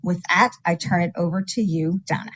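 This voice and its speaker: A woman hosting an event, reading a speaker's bio in a measured way over an online call. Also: fairly low pitch, fairly bright tone, breathy edge, muffled recording. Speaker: event host introducing a speaker. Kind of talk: formal introduction.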